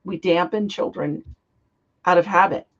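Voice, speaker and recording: A woman guest, slightly hoarse from a cold, explaining in an earnest, heartfelt way. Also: fairly low pitch, measured pace. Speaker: guest psychic. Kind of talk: interview conversation. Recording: dull lo-fi sound.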